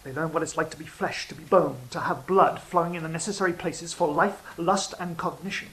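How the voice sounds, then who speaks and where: An actor playing a sly, cynical fallen angel in an audio drama, speaking in a measured, philosophical, teasing way. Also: medium pitch, fairly bright tone, natural voice, low-quality recording. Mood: musing, brooding. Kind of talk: theatrical audio drama dialogue and monologue.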